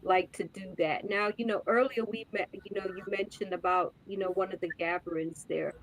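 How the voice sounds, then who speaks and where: A woman hosts a podcast interview, sounding agreeable and encouraging as she readily affirms her guest. Her pitch sits in an ordinary middle range, and the chatter of a crowd carries clearly behind her. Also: fairly even intonation, moderate pace, poor audio. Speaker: podcast host. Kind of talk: casual conversational interview.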